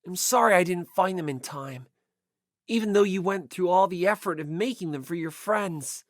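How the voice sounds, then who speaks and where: A woman's voice: on the low side, moderate pace, no echo, clean studio sound, close to an everyday voice. A woman voicing a modest, kindly teenage schoolboy in an audiobook, sincere and easily flustered.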